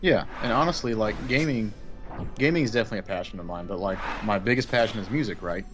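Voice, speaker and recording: A man's voice: on the low side, fast talker. A man chatting casually while playing a co-op game, easygoing and chatty with lots of fillers. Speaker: co-op gaming guest. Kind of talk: casual chat over gameplay. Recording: music playing behind.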